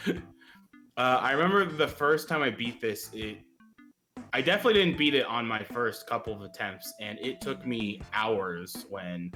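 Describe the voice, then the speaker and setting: A man with an American accent, a guest game master explaining settings casually, relaxed and chuckly. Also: mid-range voice, moderate pace, soft background music. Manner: relaxed, chuckling, hesitant with fillers.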